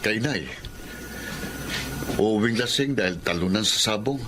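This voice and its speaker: An actor playing a grown son who speaks with bitter resentment in a radio drama. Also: male voice, lowish voice, measured pace, background noise, lightly acted. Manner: bitter, brooding.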